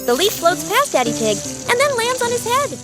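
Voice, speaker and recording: A woman's voice: extremely high pitch, big pitch swings, brisk, loud music behind the voice. A woman reading scripted children's story narration in short, simple storybook sentences.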